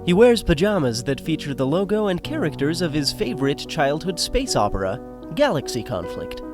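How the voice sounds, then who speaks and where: A man's voice: on the high side, sing-song, moderate pace, loud music behind the voice. A man playing the wry, dramatic narrator of a scripted audio drama.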